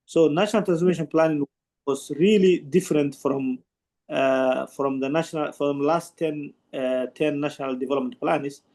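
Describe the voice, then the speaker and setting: A man speaks in an online panel discussion. His voice sits a little high with a gravelly edge. He explains earnestly and stops often to restart his sentences. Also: normal speed, darkish tone. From Somalia. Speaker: conference participant asking a question.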